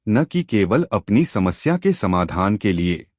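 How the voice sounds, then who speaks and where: Man reading as a slow-spoken, wise older mother-in-law. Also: fairly low pitch, big pitch swings, a little mellow, no room sound, studio quality. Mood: calm advising. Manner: patient, advising, moralising.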